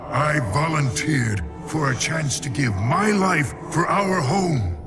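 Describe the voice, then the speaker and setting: Proud alien soldier, earnest formal speech, really dynamic pitch, distant under clear music. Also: male voice, expressive, slow pace. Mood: earnest conviction. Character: proud, devoted alien warrior, ready to die. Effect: processed telepathic alien voice effect. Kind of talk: dramatic video game cinematic acting.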